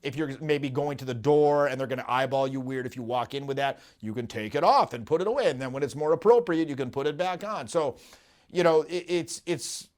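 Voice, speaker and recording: A man in his thirties, a dating coach hosting a casual podcast chat, telling stories animatedly and acting out the voices of people he quotes, recorded in a dark studio. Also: medium pitch, a little fast. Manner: animated storytelling, acts out quotes.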